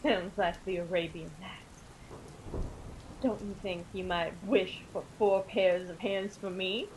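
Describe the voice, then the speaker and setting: A woman's voice: mid-range voice, steady tone, slow, natural voice, poor audio. An actress playing a practical, fussing middle-aged mother in a radio drama. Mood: wry, fussing. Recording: faint background noise and ambience.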